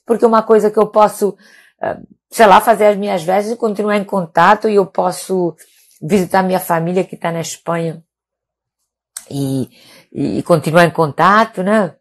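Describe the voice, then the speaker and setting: A woman's voice: medium pitch, steady tone, moderate pace. A woman in her fifties from Mallorca, a native Catalan and Castilian speaker with a Spanish accent, chatting informally as a doctor hosting a live stream, often hesitating and checking in with tag questions. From Mallorca, Spain. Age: 50s. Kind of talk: casual live chat with viewers.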